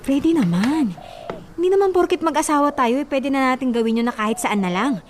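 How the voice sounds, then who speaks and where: A woman's voice: sits high, lively intonation, a little fast, a little hiss or hum. A woman acting a distressed, pleading wife who resists her husband in a radio drama. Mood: hurt, pleading. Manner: pleading, emotional protests.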